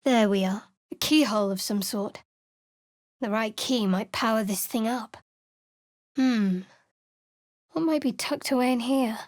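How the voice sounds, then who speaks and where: A woman voicing a guarded, sardonic young female cleric of a dark goddess in a fantasy video game, with a dry, secretive, teasing manner. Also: on the high side, a little slow, pauses often, dry recording, lightly acted. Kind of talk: video game companion voice lines.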